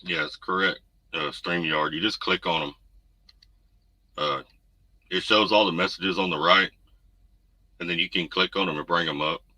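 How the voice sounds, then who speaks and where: Deep low man, drawl, easygoing rambling livestream chat, crisp forceful tone, desk mic at home. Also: fairly even intonation, normal speed, pauses often, no echo. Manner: laid-back, rambling, reads viewer comments. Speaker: trucker livestream host. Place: home room. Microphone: desk USB condenser mic. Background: noise.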